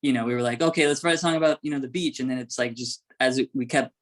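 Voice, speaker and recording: Man, quick pace, middle pitch, casual interview chat, filler "like". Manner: peppered with "like". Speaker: band musician being interviewed.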